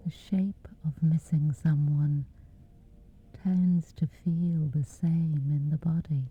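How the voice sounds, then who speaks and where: Soft whispery lady, really slow, low voice, thin tinny sound w faint music under it. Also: steady tone, slightly rough. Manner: slow gentle soothing delivery. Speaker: meditation guide. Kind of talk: guided sleep meditation.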